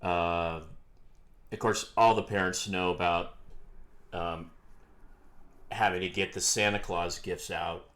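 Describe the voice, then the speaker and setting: A man co-hosts a casual podcast, trading holiday memories. His voice sits very low, and he takes his time, dropping dry jokes between frequent pauses and "um"s. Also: steady tone, fairly bright tone. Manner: slow, dry joking with many "um"s. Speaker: podcast co-host. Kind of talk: casual chat.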